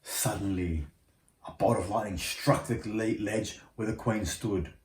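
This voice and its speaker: Man, lowish voice, bedtime storybook narrator, slightly stumbling, roomy sound with faint noise. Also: unhurried. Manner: stumbles over words while reading. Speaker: father reading a bedtime story. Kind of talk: storybook read-aloud. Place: indoor room at home.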